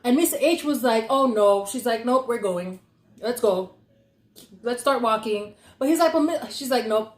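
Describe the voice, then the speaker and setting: A young adult woman telling stories casually and conversationally, animated and giggly, acting out what people said. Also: on the high side, normal speed. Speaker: podcast host telling stories. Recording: echoey, roomy sound.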